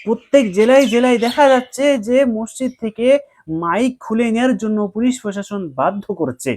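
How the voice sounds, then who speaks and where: A man's voice: very high voice, fairly quick, darkish tone, slight background noise. A man giving explanatory commentary to viewers, emphatic and repeating his points.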